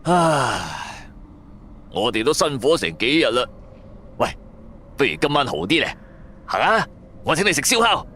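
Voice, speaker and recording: A man's voice: mid-range voice, very animated, unhurried, long pauses, pushed and bright, a little hiss or hum. A man voicing a vain, boastful young sidekick in a Cantonese audio drama, showy and teasing. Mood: weary, coaxing. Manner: showy, self-satisfied, teasing.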